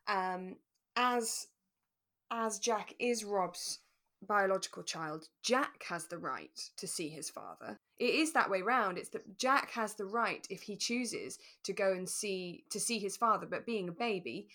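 A woman co-hosting a podcast, talking through a radio drama in a relaxed, careful, explanatory way. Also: medium pitch, a little slow. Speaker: podcast co-host.

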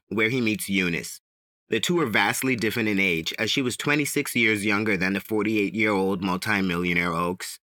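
A man with a fairly low voice narrates as a podcast host. He reads a scripted true-crime story in measured phrases, with clear pauses. The sound is dry and close to the microphone. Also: pushed and bright, dry recording. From the Bahamas.

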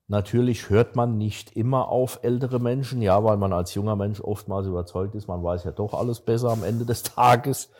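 Man, sixties, easygoing podcast host, lowish voice with a warm, mellow tone. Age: 60s. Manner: relaxed storytelling, mimics a bank clerk in quoted anecdotes. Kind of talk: casual podcast conversation.

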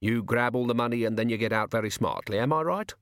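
A man's voice: mid-range voice, fast, fairly bright tone, no room sound, studio quality, close to an everyday voice. A man voicing a careful little criminal in an audiobook, with a neat small voice and a precise, polite manner. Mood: primly assured.

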